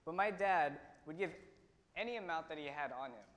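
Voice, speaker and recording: A teenage man from India tells a personal story in an earnest way, pausing and restarting as he goes. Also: fairly high pitch, expressive, a bit bright. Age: teens. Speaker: student speaker. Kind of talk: prepared personal talk. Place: auditorium stage. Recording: long hall reverb.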